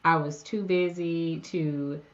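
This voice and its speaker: A woman in her forties hosts a podcast in a conversational, affirming way. Her voice sits a little low for a woman, and the recording sounds slightly dull and lo-fi. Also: steady tone, slow. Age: 40s. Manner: affirming, frequent backchannel agreement. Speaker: podcast host.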